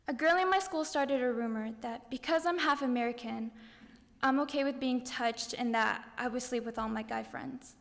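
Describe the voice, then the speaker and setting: High-voiced young woman in a reverberant hall. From Japan. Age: young adult. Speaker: contestant in a student speech contest. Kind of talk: rehearsed personal speech delivered to an audience. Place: large indoor hall at a speech contest.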